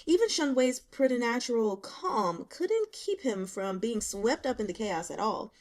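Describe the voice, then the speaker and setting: A woman reading fiction aloud as an audiobook narrator, in an expressive storytelling style. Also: a little high, a little slow. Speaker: audiobook reader.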